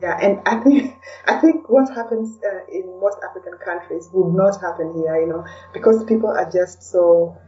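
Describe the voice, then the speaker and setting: A woman with a dark, mellow tone chats casually as a podcast guest. She sounds a little away from the microphone, in a dull lo-fi recording, and often tags her phrases with "you know". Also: on the low side, moderate pace, recorded from a distance, slight background noise. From Kenya. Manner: chatty, peppers talk with "you know". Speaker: interview guest. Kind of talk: casual conversational interview.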